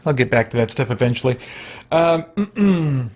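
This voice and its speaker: A man's voice: mid-range voice, lively intonation, measured pace, darkish tone. A man giving casual livestream game commentary, thinking aloud in short remarks. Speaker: video game streamer.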